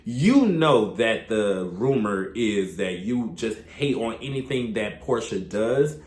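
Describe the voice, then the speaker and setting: A man with a stuffy, congested voice from a cold, giving a chatty, opinionated recap commentary with sudden high exclamations. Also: expressive, takes it slowly, a little mellow, reverberant. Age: adult, past his thirties. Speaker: reality TV recap vlogger.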